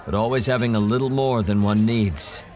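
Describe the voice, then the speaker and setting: An actor playing a wry, self-assured monster hunter in an audio drama, calm and measured. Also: male voice, on the low side, a faint crowd, lightly acted.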